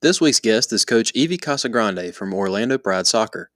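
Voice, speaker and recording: A man's voice: fairly low pitch, animated, moderate pace, fairly bright tone. A man, the podcast's host, reading an upbeat scripted intro.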